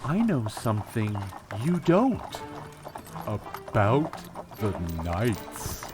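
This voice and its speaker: A man's voice: medium pitch, big pitch swings, very slow. Dude playing smug teasing young guy, reallyyy drawn out, audio drama w music behind. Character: smug, playful young man savouring small victories.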